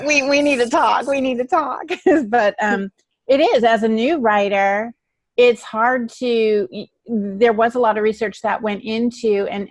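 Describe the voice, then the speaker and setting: A woman on a writers' podcast panel, chatting casually in a halting, self-deprecating way. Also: mid-range voice, no room sound.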